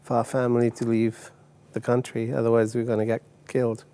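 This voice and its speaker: A man in his sixties from Uganda and later England, telling his personal story as an interview guest in a halting way, often repeating words. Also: mid-range voice, completely flat delivery, warm tone. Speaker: testimony guest.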